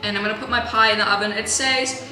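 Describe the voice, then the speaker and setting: A woman vlogging in a home kitchen, chatting in a rambling way with sarcastic, deadpan asides, recorded on a camera mic. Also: on the high side, fast. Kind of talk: casual vlog chat. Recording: roomy with music bed.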